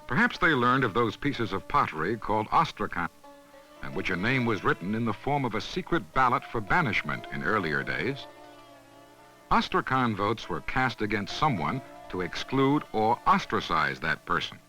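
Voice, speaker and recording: Man, educational film narrator, measured scripted delivery, crisp forward tone, lively pitch movement, 1970s soundtrack with light music. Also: low-quality recording. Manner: measured, instructional.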